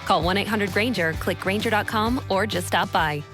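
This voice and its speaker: Woman doing a radio ad read, normal pitch, music behind her. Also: normal speed. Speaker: advertisement announcer. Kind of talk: scripted commercial narration.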